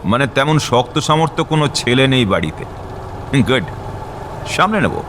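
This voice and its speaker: A man plays a self-assured enforcer in a radio play. His mid-pitched voice swings with lively intonation, brisk and confident, over clear vehicle noise. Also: close to an everyday voice. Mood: businesslike. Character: cocky, self-assured male enforcer, tough and businesslike. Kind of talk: radio drama acting. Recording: noisy vehicle engine sound effects.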